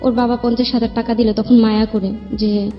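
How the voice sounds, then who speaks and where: A woman's voice: fairly high pitch, level tone, brisk. A young woman with a Bangladeshi accent tells her life story in an interview in a colloquial, rambling way. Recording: muffled lo-fi audio, music behind. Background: background music.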